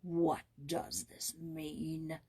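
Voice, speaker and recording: Stern master of the house, played by a woman: indignant gentleman, husky, measured, storybook style. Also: low-pitched, completely flat delivery, pitched below the performer's own voice, exaggerated voice. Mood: severe.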